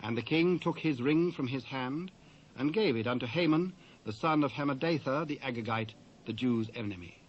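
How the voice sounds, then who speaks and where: A British man narrating scripture in a solemn, measured, dramatised style. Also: medium pitch. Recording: dull old cassette recording.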